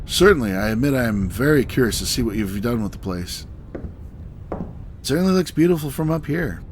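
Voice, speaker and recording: An actor playing a genial, assured starship captain in a sci-fi audio drama, speaking with measured courtesy. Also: male voice, medium pitch, animated, close to an everyday voice. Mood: courteous. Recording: clear background ambience noise.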